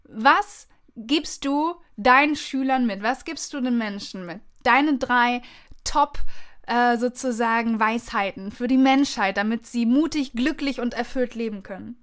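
A woman's voice: a little high, unhurried, fairly bright tone. A woman hosting a podcast interview in an effusive, encouraging manner. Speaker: podcast host.

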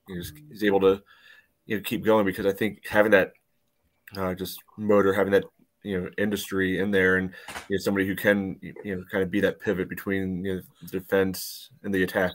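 A middle-aged man rambling casually about sports on a video call. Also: low-pitched. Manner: rambling, peppered with "you know". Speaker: sports podcast panelist. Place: indoor room on a video call. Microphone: video call microphone.

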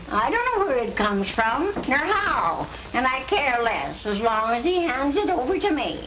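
An actress playing a brash, surly older landlady in a 1930s film, blunt and slangy. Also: sits high. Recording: noisy, echoey 1930s film soundtrack.

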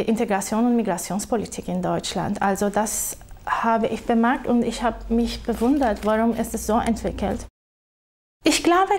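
Turkish-accented woman, hesitant, fairly high. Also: a little mellow. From Turkey. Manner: hesitant, many fillers and restarts. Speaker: professor of social sciences. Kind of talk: interview statement.

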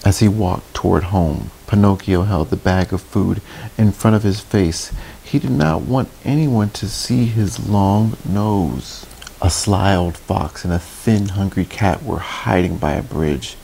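A man in his thirties reading a children's storybook aloud, deliberately and expressively, with friendly asides. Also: sits low, gravelly, warm tone, rough recording. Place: small indoor room, seated on a couch. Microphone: clip-on lapel mic. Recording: faint background hiss.

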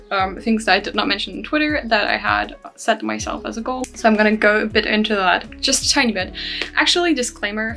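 A woman's voice: mid-range voice, a bit bright. Chatty young woman vlogging from her bedroom. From Germany. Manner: chatty and self-deprecating, laughs between phrases. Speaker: language-learning vlogger. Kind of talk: casual talk to camera. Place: small bedroom, indoors. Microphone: camera at desk distance.

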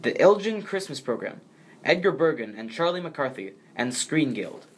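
An American man shares the narration of a biography. He reads prepared lines in a voice of medium pitch, acting as co-presenter. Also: very animated, normal speed, poor audio. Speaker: co-presenter, grandson of the subject.

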